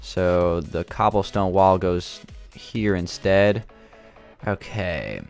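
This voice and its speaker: Young male gaming host, low voice, a touch raspy, hesitant, unhurried chatter. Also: faint music behind. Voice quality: slightly raspy from yelling. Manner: thinking aloud, hesitant, many fillers. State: sore throat. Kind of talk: casual gameplay commentary.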